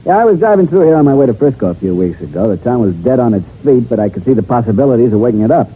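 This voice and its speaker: A breezy, money-minded promoter, played by a male actor, rattles along quickly in a brash, slangy, matter-of-fact way. The vintage radio drama recording is tinny, with a little noise underneath. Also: mid-range voice, big pitch swings, natural voice. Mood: breezy, frank. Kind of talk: 1950s radio drama acting. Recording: tinny band-limited old radio recording, faint noise.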